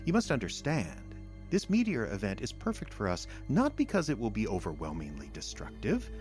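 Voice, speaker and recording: A man performs a cold alien villain for an audio drama. The voice sits low and moves with patient, measured condescension, lecturing like a superior being who holds humans in contempt. Faint music runs underneath. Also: huge pitch swings. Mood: smug, ominous.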